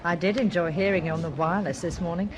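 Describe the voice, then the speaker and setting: A woman's voice: lowish voice, quick pace, noisy background, close to an everyday voice. An actress playing a polite, admiring middle-aged woman who is a book fan, in a radio drama. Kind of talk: British radio drama acting.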